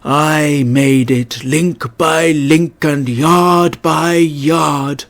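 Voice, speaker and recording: A man voicing the mournful, doomed ghost of a dead businessman in a storybook reading, with slow, wailing declamation. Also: a little high, fairly even intonation, vocal fry, exaggerated voice. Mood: grave, bitter.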